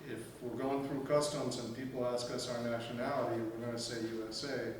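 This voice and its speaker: A middle-aged man talks at an even, ordinary pitch, measured and plainspoken, with a wry dry humor. He sits well away from the microphone in a very echoey room. Clear background noise hangs under his voice. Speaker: discussion participant. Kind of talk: casual roundtable discussion. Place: room with a table, group seated. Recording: distant mic in very echoey room, noisy.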